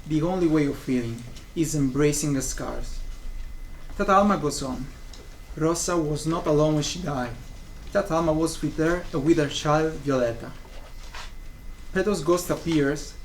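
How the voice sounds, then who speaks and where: A man's voice: on the high side, moderate pace, low-quality recording. A young man reading scripted narration of a stage play. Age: young adult. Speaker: student narrator of a play summary. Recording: faint room noise.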